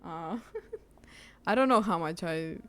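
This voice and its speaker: A woman's voice: lowish voice, expressive, slow, a bit bright. A woman livestreamer giving casual, live commentary while she plays a video game.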